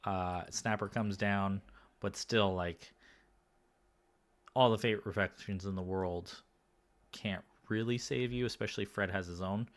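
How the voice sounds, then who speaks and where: A slightly hoarse man commentating a card game match on his own, casual and thinking aloud, with frequent fillers and restarts. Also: sits low. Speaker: game commentator and podcast host.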